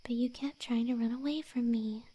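A woman voicing a possessive, obsessive moth girlfriend in an ASMR roleplay, sweetly menacing in slow, breathy, cooing whispers close to the microphone. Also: a little high, fairly even intonation, dark tone, no room sound. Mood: coaxing, tender. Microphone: close microphone, dry.

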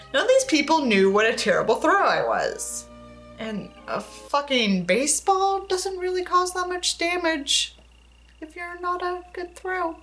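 High-pitched, animated, sarcastic young woman. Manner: sarcastic, sweary; theatrical, acts out dialogue in raised tones. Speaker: book reviewer and host. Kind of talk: reading a novel aloud with mocking commentary. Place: indoors in front of a tinsel backdrop.

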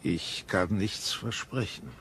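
A man's voice: sits low, slow, a bit bright, a little hiss or hum. An actor in a radio drama playing a reserved townsman from an old-fashioned reservation. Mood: guarded.

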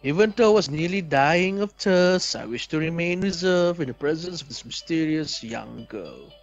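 A young man reads visual novel narration aloud as a let's player, deliberate and storybook-like, with casual asides. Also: on the high side.

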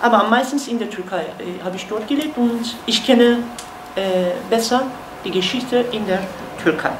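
A woman in her thirties from Turkey with a Kurdish accent, lecturing at a university seminar in halting speech with many fillers. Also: medium pitch. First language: Kurmanji Kurdish. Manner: halting, many fillers, gestures while explaining. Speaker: guest lecturer. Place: university seminar room, indoors. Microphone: far camera. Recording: echoey classroom, faint noise.

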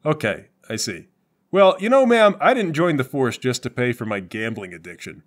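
Male roleplayer, very animated delivery, moving between joking table talk and theatrical in-character lines. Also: medium pitch, normal speed. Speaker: player in a tabletop game. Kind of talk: casual comic banter and in-character roleplay.

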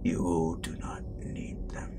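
A man playing a menacing, commanding Sith master who guides an apprentice in a breathy, deliberate half-whisper, in a scripted roleplay audio. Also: natural voice. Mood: commanding, intense. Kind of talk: scripted roleplay meditation audio, intimate address.

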